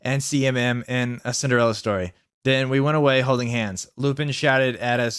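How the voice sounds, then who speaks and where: A man's voice: mid-range voice, fairly bright tone, clean tone, dry recording, studio quality. A man hosting a comic dramatic reading of fan fiction, mock-earnest and sarcastic in his asides.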